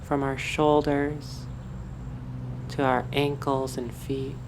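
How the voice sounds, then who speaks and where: A woman narrating a guided meditation in a soothing, deliberate, instructive way, as the guide. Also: rumbling low, flat delivery, background noise.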